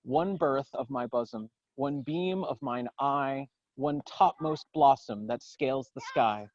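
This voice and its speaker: A man gives a dramatic poetry recitation outdoors, in solemn, declamatory, measured phrases. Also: mid-range voice, a little mellow, no room sound, highs cut off. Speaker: poetry reader. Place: outdoors in a garden.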